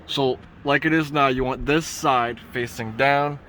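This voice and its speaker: Halting man giving a casual how-to. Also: mid-range voice, fairly even intonation, a bit bright, slight background noise. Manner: halting, rambling, self-correcting. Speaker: vlogger giving a DIY truck tutorial. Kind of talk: casual hands-on how-to talk. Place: inside a parked pickup truck cab.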